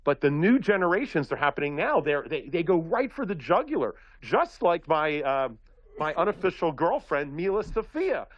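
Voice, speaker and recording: A man from Philadelphia holds forth on a talk show, telling stories in long, looping sentences full of restarts. His fairly high voice swings widely in pitch as he gets going. The audio is dry and a little dull. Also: normal speed, no echo. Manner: rambling, animated storytelling with many restarts. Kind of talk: casual talk-radio chat.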